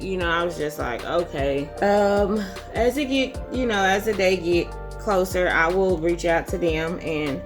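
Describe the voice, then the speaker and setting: A young woman, hoarse and husky, chatting casually as a beauty vlogger while telling a story. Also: lowish voice. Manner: relaxed, chatty, says y'all and um often. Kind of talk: casual storytelling chit chat. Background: music.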